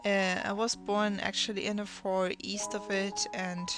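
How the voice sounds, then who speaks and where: Young woman, Russian native, halting voice-over narration, mid pitch, music bed behind. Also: flat delivery. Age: teens. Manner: hesitant, many restarts and fillers. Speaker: channel host. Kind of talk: draw my life voice-over narration.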